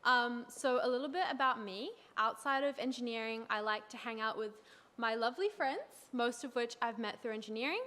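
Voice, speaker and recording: Young woman student presenter, a touch high, conversational. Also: a little fast, bright, forceful tone. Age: young adult. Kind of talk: presenting to an audience.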